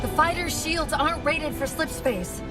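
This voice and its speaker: Loud score runs under a woman playing a brisk female AI navigator in a game cutscene. Her high voice is alert and urgent, snapping out tactical reports. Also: natural voice. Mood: urgent, focused. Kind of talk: video game cutscene acting. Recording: loud music over the voice.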